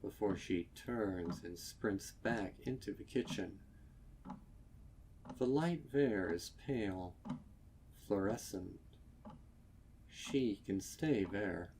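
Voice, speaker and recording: A man reading a scripted dark story as narrator, in a measured, deliberate and suspenseful way. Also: on the low side, expressive, noisy background. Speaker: narrator of a horror fan fiction reading.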